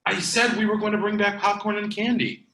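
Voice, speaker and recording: A man's voice: high voice, fairly even intonation, brisk, sharp tone. A man playing a pragmatic, bossy video store clerk with an emphatic, mock-serious manner in a comedy table read. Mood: matter-of-fact. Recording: echoey room.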